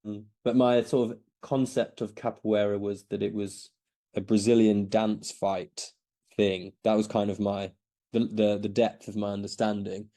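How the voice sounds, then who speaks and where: A man's voice: fairly low pitch, level tone, measured pace, mellow. A man in his thirties who grew up in Belgium, answering interview questions in a casual, hesitant way with many restarts. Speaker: podcast guest.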